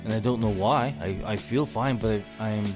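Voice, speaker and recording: A man's voice: fairly low pitch, normal speed, music in the background. A man hosting a solo podcast, losing his voice, talking in an indignant tone and repeating words as he rants. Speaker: podcast host.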